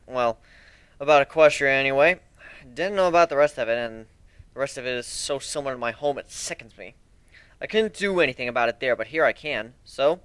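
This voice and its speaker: A man's voice: a little high, fairly bright tone, clean tone, no echo. A man playing a sarcastic, cocky griffin pirate in an amateur story reading, with a flippant manner. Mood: matter-of-fact, bitter. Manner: flippant, sardonic.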